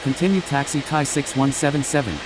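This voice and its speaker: A man's voice: fairly even intonation, a little fast. Man making noisy aviation radio calls. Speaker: airline pilot on air traffic radio. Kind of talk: scripted radio phraseology. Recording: loud noisy rumble and hiss.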